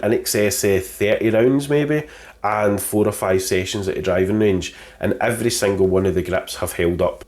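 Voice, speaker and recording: A man's voice: lowish voice, darkish tone. A man, stuffy with a cold, giving a cheeky, jokey golf gear review from his kitchen. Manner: cheeky, jokey, full of innuendo. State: congested with a cold. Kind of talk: casual product review to camera. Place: home kitchen.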